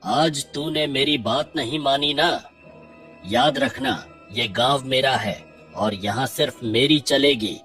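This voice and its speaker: Man acting a bullying village headman, slow and menacing. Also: mid-range voice, a bit bright, faint music behind. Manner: threatening, domineering. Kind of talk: cartoon villain dialogue.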